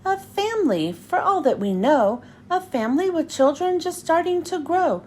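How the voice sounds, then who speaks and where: A woman voicing a gentle, protective male storybook elephant, sincere, pleading and emphatic. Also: very high voice, big pitch swings, normal speed, slight background noise. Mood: earnest pleading.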